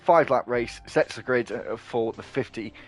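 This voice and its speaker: A man giving live play-by-play commentary on an online racing league, excitable and quick to correct himself. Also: medium pitch, soft background music.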